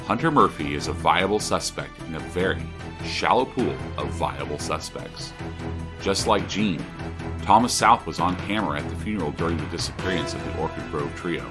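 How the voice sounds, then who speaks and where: Podcast drama host male actor: serious investigator, deep voice with lively inflection, scripted narration. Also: normal speed, music in the background. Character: true-crime podcast host, a man, earnest and probing investigator. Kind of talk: scripted true-crime narration and interviewing.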